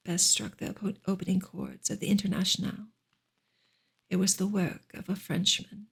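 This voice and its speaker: A woman reading a book aloud as soft ASMR, breathy and often close to a whisper, with gentle, careful narration. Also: mid-range voice. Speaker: reader, ASMR creator.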